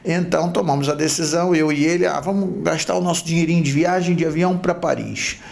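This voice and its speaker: A middle-aged man from Rio with a Brazilian Portuguese accent, a podcast host telling his life story in a reflective, halting way. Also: a little high, fairly even intonation, gravelly, a little mellow. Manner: reflective, halting with repeated restarts. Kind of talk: personal storytelling.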